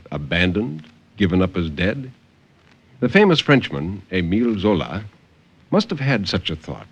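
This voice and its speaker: A man reading horror tales in an intense, deliberate, theatrical 1950s radio storytelling style. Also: low-pitched, dull audio. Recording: noisy vintage 1950s radio recording.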